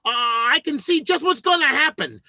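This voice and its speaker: An actor playing a scheming, self-important working-class husband in an old-time radio sitcom, with blustery, folksy speech. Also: male voice, extremely high pitch, animated, moderate pace, vocal fry, pushed and bright, no room sound, heavily acted. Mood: awkwardly persuasive.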